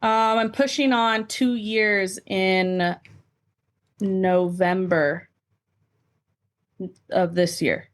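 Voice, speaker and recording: A woman's voice: medium pitch, fairly even intonation, extremely slow, pauses often. A woman guesting on a podcast, answering questions in an informal, conversational way. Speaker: podcast guest, amateur athlete. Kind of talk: casual interview answers.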